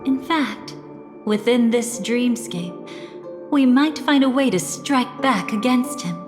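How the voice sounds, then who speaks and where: An actress playing a composed, cunning, protective young woman with playful menace in a video game story, speaking in a measured, knowing way. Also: on the high side. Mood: scheming. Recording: long reverb, music behind.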